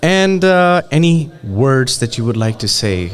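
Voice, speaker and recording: A man's voice: mid-range voice, sing-song, unhurried. A man hosting a podcast interview, asking questions and giving encouraging responses. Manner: encouraging, many short backchannels. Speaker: podcast host. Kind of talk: casual interview.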